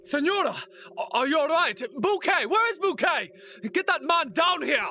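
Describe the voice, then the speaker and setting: A man voicing a flustered middle-aged male opera manager who shouts up to the rafters in alarm. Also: extremely high pitch, sing-song, normal speed, voice pushed up, exaggerated voice.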